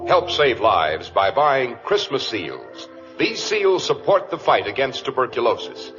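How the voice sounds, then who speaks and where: A man's voice: medium pitch, very animated, a little slow. A man working as a radio announcer and host, delivering scripted 1940s-style announcements with polished emphasis. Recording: muffled vintage radio, loud music behind.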